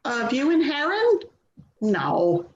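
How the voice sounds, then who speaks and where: A woman's voice: high voice, huge pitch swings, takes it slowly, muffled recording, exaggerated voice. A woman playing an anxious, hurt grown daughter in a dramatic play reading. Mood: impatient.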